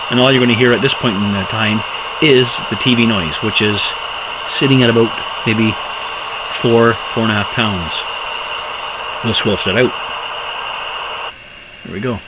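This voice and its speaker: A man giving a casual, unscripted walkthrough of equipment as a hobbyist presenter, halting and thinking aloud as he goes. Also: medium pitch, steady tone, very noisy.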